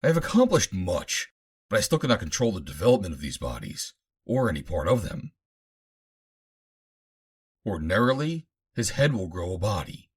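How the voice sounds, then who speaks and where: Audiobook role: male reader as proud Martian master scientist, grandiose and formal, low husky voice, lively inflection. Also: normal speed, long pauses, heavily acted. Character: Martian master scientist, proud, calculating creator of artificial bodies.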